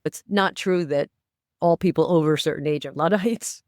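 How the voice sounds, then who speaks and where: A woman's voice: low-pitched, moderate pace, darkish tone, no room sound, clean studio sound. Emphatic woman in her sixties. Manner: emphatic, persuasive, urging listeners on. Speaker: podcast guest, inclusive AI advocate. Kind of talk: conversational interview.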